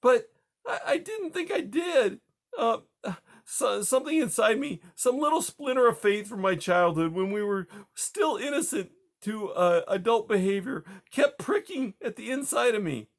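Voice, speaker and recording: A man's voice: very high-pitched, lively intonation, normal speed, voice pushed up. Man doing a sobbing distraught woman. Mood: tearful. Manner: breathless, stammering, rising to hysteria. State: crying. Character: grieving distraught woman, tearful and pleading.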